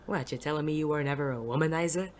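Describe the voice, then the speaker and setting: An actor playing a world-weary, wisecracking private detective in an audio drama, dry, sardonic and casually profane, with a soft spot underneath. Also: mid-range voice, quick pace, a little hiss or hum, natural voice. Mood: dry, teasing.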